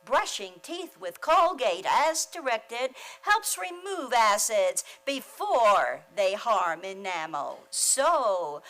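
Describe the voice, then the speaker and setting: A woman's voice: high voice, a bit bright. A woman announcer reading a commercial in an old-time radio style.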